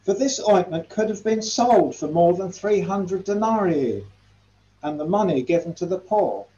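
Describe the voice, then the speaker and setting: A man's voice: high-pitched, expressive, moderate pace, warm tone. An older man playing an indignant, reproachful disciple in a dramatized scripture reading, recorded in a home room. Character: indignant disciple scolding over waste. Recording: roomy, dull, faintly noisy home recording.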